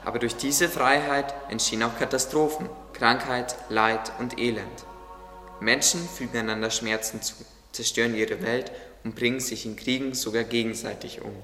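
A devout gardener played by a man in a radio play, solemn, fond and preaching. His forceful, bright voice carries in a long reverb with music underneath. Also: mid-range voice, fairly even intonation, normal speed, natural voice. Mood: grave. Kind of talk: religious radio play acting.

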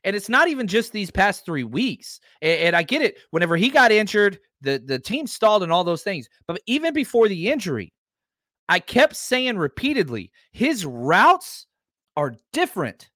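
A man in his forties with a high, lively voice that swings up and down, hosting a sports podcast. He speaks emphatically and drives his points home by repeating himself. The sound is dry and close. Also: moderate pace, no echo. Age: 40s. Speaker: podcast host.

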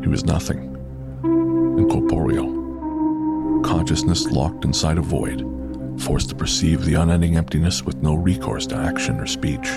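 Very deep, gravelly male horror narrator. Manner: grave, deliberate storytelling. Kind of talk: scripted horror audio drama narration. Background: music.

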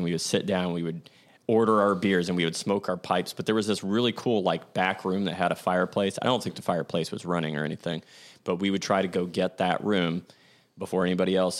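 A young man hosting his own show, speaking in a deep, low register. He rambles through old memories, stopping to correct himself and filling gaps with "like" and "um.". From Ohio, USA. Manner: rambling, self-correcting, lots of "like" and "um". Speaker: podcast host. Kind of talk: casual storytelling monologue.

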